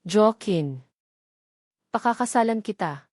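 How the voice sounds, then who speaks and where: A woman with a Filipino accent voicing a soft-hearted, emotional young mother in a romance story. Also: medium pitch, lively intonation, slow, no room sound, studio quality. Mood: tearful, grateful.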